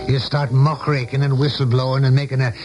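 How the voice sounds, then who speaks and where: A man's voice: medium pitch, little pitch movement, a little fast, a little mellow, natural voice. An actor playing a worldly, cynical male political adviser in a radio drama, with a wry, knowing and sardonic way of talking. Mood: wry, warning. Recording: dull old radio recording with music.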